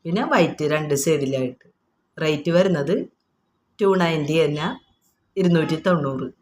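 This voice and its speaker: A woman's voice: low-pitched, normal speed, a little hiss or hum. A woman with a Kerala accent informally showing products item by item, explaining each and repeating prices in an unscripted, repetitive way.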